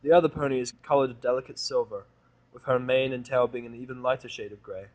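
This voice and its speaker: A man's voice: mid-range voice, steady tone, fast, a little mellow, muffled recording. A man reads a first-person story aloud. His voice sits in a middle register. The narration is informal and a little wry. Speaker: fanfic reader and narrator.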